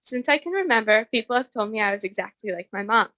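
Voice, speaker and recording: Young woman reading a personal eulogy, bright clear-cut voice, dry close sound. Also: fairly high pitch, animated, quick pace, no room sound. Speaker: daughter giving a eulogy.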